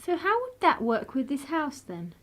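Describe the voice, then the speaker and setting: Radio play role: actress as a well-bred young lady, high-pitched, formal, sceptical and cutting. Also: huge pitch swings, moderate pace, a bit bright, exaggerated voice. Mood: cool. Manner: pointed, sardonic, formal.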